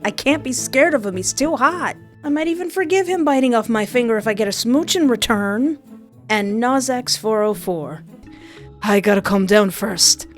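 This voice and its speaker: A young woman hosting a countdown of game characters, excitable and goofy, often interrupting herself. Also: a little high, normal speed, faint music behind. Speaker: youtube host.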